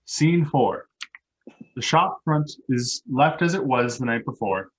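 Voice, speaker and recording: A man with a slightly husky voice reading stage directions as scripted narration for a play. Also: medium pitch, lively intonation, slow, low-quality recording. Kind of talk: scripted narration at a live table read.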